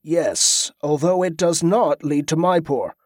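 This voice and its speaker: A man reading an audiobook, voicing a calm monk who speaks in a formal, measured way. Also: a little high, no echo, clean studio sound. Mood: solemn.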